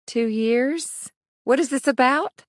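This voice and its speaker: A man's voice: very high-pitched, no echo, pitched above the performer's own voice. A male narrator voicing a weary young mother of about thirty with a dry, frazzled way of talking. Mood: wry, weary. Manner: dry, frazzled, quick to chatter.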